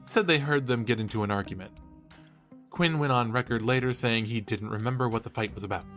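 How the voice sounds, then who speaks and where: A man's voice: medium pitch, fairly quick, a bit bright, faint music behind, close to an everyday voice. A man playing a cheeky, eager young college true-crime podcaster in an audio drama, with a playful, theatrical delivery. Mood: eager, speculating. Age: young adult. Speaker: podcast co-host. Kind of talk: scripted banter in a horror audio drama.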